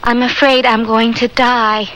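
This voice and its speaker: An actress playing an anxious wife haunted by fears of death, speaking haltingly in a radio drama. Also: female voice, on the high side, level tone, a little hiss or hum, low-quality recording. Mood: defensive, anxious. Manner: fearful, halting. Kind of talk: radio drama acting with first-person narration. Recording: old radio recording with loud music.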